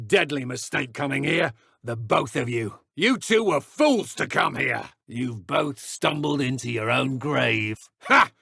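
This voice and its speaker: A man voicing an arrogant, cruel dark wizard villain in a video game, taunting his opponents with theatrical scorn. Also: mid-range voice, animated, measured pace, gravelly, few pauses, breathy edge, no echo, studio quality, heavily acted. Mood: menacing taunting. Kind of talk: video game combat voice lines.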